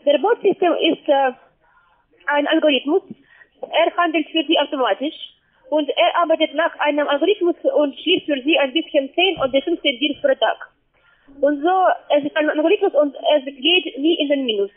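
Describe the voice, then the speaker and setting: A woman with a foreign accent, not a native German speaker, giving a halting sales pitch on the phone as a call-center agent in broken grammar. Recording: tinny, narrowband phone line.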